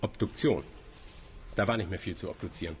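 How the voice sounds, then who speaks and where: An actor playing a courteous, evasive senior institute scientist in a radio drama, formal and hesitant, repeating himself. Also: male voice, low voice, brisk, a little hiss or hum, lightly acted. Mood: grave, courteous. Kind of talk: naturalistic German radio play acting.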